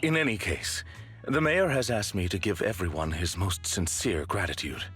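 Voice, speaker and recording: A man's voice: low-pitched, normal speed, a bit bright, faint music behind. A man voicing a formal, composed butler figure in a game cutscene, polite and precise. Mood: measured.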